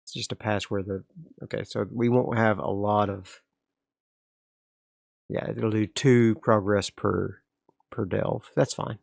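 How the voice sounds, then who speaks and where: Low-ish gravelly guy talking thru his solo tabletop game, laid back, lots of restarts, dry sound. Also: takes it slowly, pauses often, no room sound. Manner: relaxed, rambling, frequent restarts. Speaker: solo game player and narrator. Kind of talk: casual solo tabletop play, thinking aloud.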